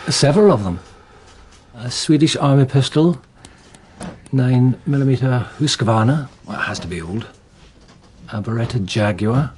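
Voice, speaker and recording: A man acts a practical local detective in a radio drama. His mid-pitched delivery is methodical and matter-of-fact, over faint background noise. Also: darkish tone, close to an everyday voice. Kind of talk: naturalistic radio drama acting.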